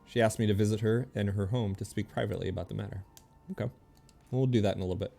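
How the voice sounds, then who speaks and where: A low-voiced man with a lot of fry and a mellow tone, streaming a game. He thinks aloud casually and easily, with faint game music behind him. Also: fairly even intonation, racing. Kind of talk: casual play-by-play chat, reading game text aloud.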